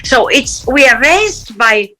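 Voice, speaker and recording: Animated middle-aged woman from Palestine, bright voice. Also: high-pitched, takes it slowly, soft background music. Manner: animated, acts out quoted voices, laughs often. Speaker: interview guest. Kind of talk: casual storytelling in an interview.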